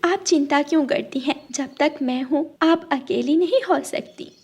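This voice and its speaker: A woman voicing a gentle, mistreated young female bird in a children's story, soft-hearted and earnest. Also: sits high, unhurried, pitched above the performer's own voice. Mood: caring, forgiving.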